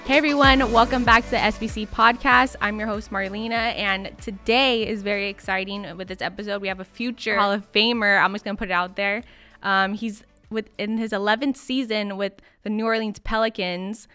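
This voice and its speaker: A woman's voice: mid-range voice, fairly even intonation, fairly quick, pushed and bright, faint music behind. A young woman hosting a podcast interview in an upbeat, enthusiastic manner. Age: young adult. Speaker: podcast host.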